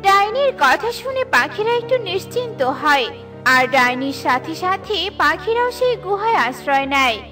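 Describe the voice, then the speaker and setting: A woman's voice: extremely high pitch, sing-song, measured pace. A woman narrating a scripted children's story as a storyteller, with music behind her.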